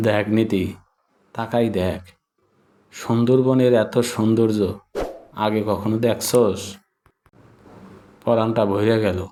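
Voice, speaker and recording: Man acting low-voiced, gruff Bangladeshi honey collector, noisy recording. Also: steady tone, slow, pauses often. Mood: firm. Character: poor, hardworking middle-aged honey collector, gruff but devoted father. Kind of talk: cartoon voice acting. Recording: clear background noise.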